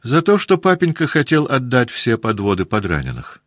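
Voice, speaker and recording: A keen teenage boy, voice lifted high and excited, as a male reader plays him. Dry, close recording. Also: moderate pace, no echo. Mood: eager.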